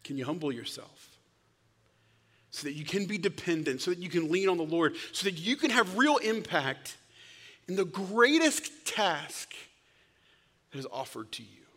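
A man preaching a sermon, telling stories with loud bursts and sudden hushed whispers. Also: sits high, animated, moderate pace, pauses often. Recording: long hall reverb.

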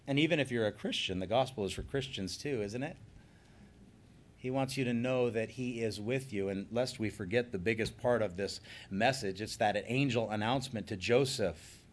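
A man preaching a sermon, earnest and emphatic, slowing down on key phrases and then leading a closing prayer. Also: medium pitch. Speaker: pastor.

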